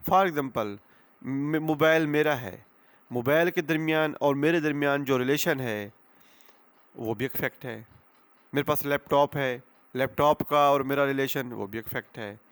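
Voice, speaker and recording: A man with a Pakistani accent speaks in a mid-pitched voice, delivering an instructional lecture. He explains with simple examples, repeats his key phrases for emphasis and drops English terms into his sentences. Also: a little slow, clear, resonant tone. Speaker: advocate giving law lectures.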